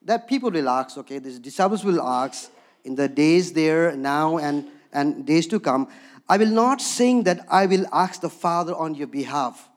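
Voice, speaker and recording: Higher-voiced man preaching with a Himalayan accent. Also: animated, normal speed. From Darjeeling, India, with Bhutanese background. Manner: conversational, frequently checks in with listeners with "okay?". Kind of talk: sermon with personal storytelling.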